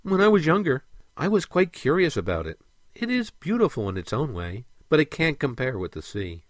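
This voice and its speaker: A man voicing a gentle, earnest female mermaid in a storybook, with soft, stately phrasing. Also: a little high, sing-song, moderate pace, no room sound, clean studio sound, voice pushed up. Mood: helpful, informative.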